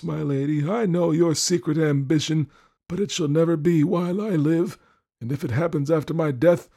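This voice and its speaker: A man's voice: fairly high pitch, warm tone, breathy edge, voice pushed up. A man voicing a miserly, suspicious old lord in an audiobook, snarling, mocking and domineering. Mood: sneering, vindictive. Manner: snarling, grumbling, mocking, nagging. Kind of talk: audiobook character voice.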